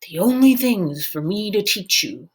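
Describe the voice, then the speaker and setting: A woman voicing a wise old male immortal teacher in a folktale, measured and solemn. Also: mid-range voice, expressive, breathy edge, poor audio. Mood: stern patience.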